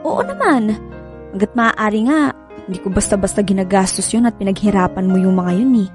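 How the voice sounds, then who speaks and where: A woman's voice: mid-range voice, lively intonation, fairly quick, natural voice. An actress playing a caring, inquisitive wife and mother in her thirties in naturalistic radio drama dialogue. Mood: earnest. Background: music bed.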